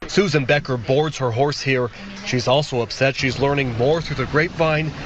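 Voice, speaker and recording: Measured male TV news reporter. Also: mid-range voice. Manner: measured, formal. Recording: dull lo-fi broadcast clip with faint noise.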